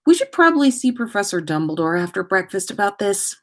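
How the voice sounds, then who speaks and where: A woman's voice: medium pitch, sing-song, a little fast. Storybook role: teenage boy wizard, straightforward, supportive friend, voiced by a female reader. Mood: matter-of-fact.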